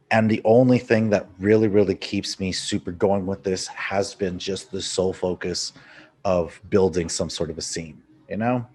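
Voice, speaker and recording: A young man from El Paso, a stand-up comedian, chatting casually over a video call and telling animated stories. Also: low-pitched, slightly rough. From El Paso, Texas. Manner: animated storyteller, slips into Spanish phrases.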